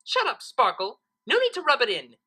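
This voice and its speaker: Woman acting boastful female unicorn, storybook figure, grandiose, high-pitched, swooping intonation. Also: huge pitch swings, normal speed, bright, forceful tone, slightly rough, full character voice. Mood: indignant. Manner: grandiose, self-important, bad grammar. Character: boastful, vain female unicorn magician, third-person braggart.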